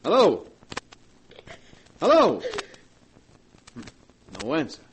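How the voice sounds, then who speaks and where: An actor playing an anxious, insistent husband in a 1940s radio drama, with emphatic theatrical delivery, on a hissy old recording. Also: male voice, on the high side, wildly swooping pitch, drawn-out words, exaggerated voice, rough recording. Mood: angry, demanding.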